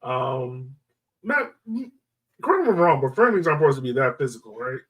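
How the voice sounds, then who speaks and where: A man's voice: medium pitch, sing-song, a little fast, fairly bright tone, recorded from a distance, poor audio. Man chatting sports, slightly off mic. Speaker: soccer podcast host. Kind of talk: casual sports chat.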